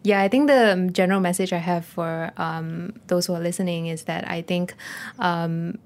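Mellow-voiced woman, hesitant answers. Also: fairly even intonation, normal speed. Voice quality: mellow, low voice. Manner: hesitant, many "I think" and "uh". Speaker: guest, voice actor. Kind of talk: interview answers.

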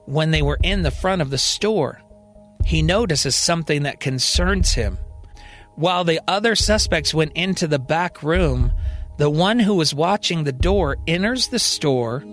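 A man's voice: on the high side, a bit bright. A man narrating a scripted science-fiction story as a dramatic podcast storyteller. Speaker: storyteller, podcast writer and performer. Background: music.

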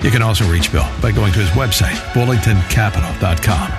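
A man announcing the opening of a radio show, with a clear announcer delivery. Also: fairly low pitch, animated, fast talker. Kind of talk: scripted radio show intro. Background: loud music bed.

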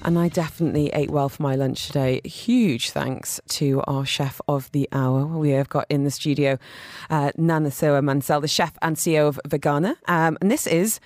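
Female radio food presenter, slightly low register, emphatic and effusive interviewer, tight dry studio. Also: normal speed, darkish tone, dry recording. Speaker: radio food show host.

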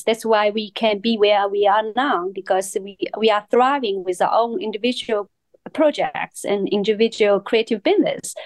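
A woman's voice: on the high side, a little mellow, no echo. A woman co-hosting a podcast in English, which is not her first language, speaking earnestly in simple phrasing. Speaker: podcast co-host. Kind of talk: casual podcast chat.

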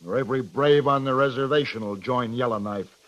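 An American actor playing a headstrong, blunt cavalry captain with clipped military formality, in a 1950s radio western. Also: male voice, mid-range voice, brisk, fairly bright tone, lightly acted. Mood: urgent, insistent. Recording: dull lo-fi 1950s radio recording.

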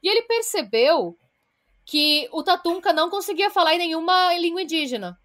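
A woman's voice: very high voice, lively intonation, moderate pace, a bit bright. A Brazilian woman co-hosting a podcast, retelling a story from her research in a blunt, casual way with some swearing. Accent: Brazilian Portuguese. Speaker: podcast co-host.

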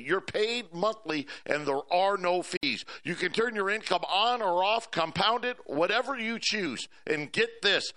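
A man reading a radio advertisement in a persuasive, pitching manner. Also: bright, forceful tone. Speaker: advertisement reader. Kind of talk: read ad.

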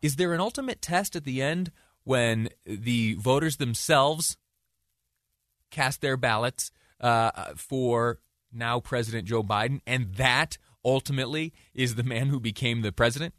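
A man hosting a talk show, giving conversational news commentary in a deliberate way with rhetorical questions and emphatic repetition. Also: mid-range voice, fairly bright tone, dry recording, studio quality.

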